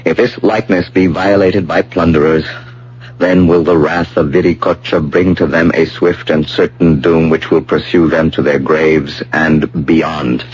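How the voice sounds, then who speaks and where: Deep-voiced, brooding, fervent fiancé. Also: male voice, fairly even intonation, measured pace, a little mellow. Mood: brooding, solemn. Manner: grave, insistent. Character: proud, brooding young Inca-descended fiancé, fervent and protective. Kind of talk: old-fashioned radio drama acting. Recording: dull, hissy old radio broadcast with hum.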